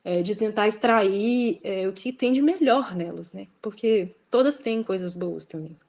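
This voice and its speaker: A woman's voice: medium pitch, measured pace, darkish tone. Woman guest with a Minas Gerais accent, reflective and hesitant in casual talk. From Belo Horizonte, Brazil. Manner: reflective, hesitant, fills with "assim", laughs often. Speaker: guest author. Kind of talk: casual interview conversation.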